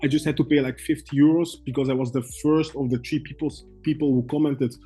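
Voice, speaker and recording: A young man from Belgium, a guest trader, telling his story in halting English full of fillers and restarts. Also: medium pitch, fairly even intonation, dark tone, husky, soft background music. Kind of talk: casual interview chat.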